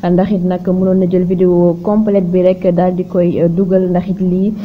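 A woman with a Senegalese accent presenting news narration, with a halting delivery and frequent hesitant pauses. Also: fairly low pitch, level tone. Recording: dull lo-fi sound with clear noise.

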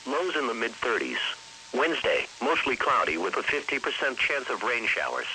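A man's voice: medium pitch, sing-song, moderate pace, pushed and bright, low-quality recording. A synthetic male text-to-speech voice reading a scripted weather bulletin in a formal, impersonal manner as a radio announcer. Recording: hissy weather radio broadcast.

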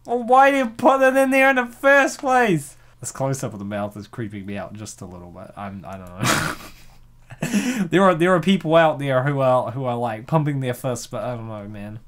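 A man's voice: medium pitch, sing-song, moderate pace, fairly bright tone. A man hosting a comic reading, giggling often and rambling with lots of fillers. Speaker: host reading a comic dub. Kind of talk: casual chat.

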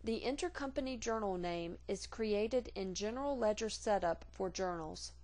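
A woman reading scripted instructional narration for a software tutorial in a measured, formal, neutral manner. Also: mid-range voice, expressive.

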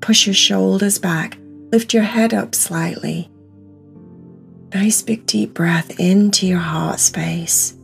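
Female meditation guide: lowish, gravelly-edged voice, very slow delivery, soothing and encouraging instruction, music underneath. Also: steady tone.

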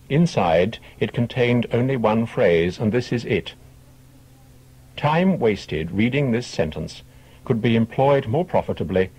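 Man, storyteller, very raspy and husky, expressive scripted narration. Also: fairly low pitch. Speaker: storyteller reading teaching tales.

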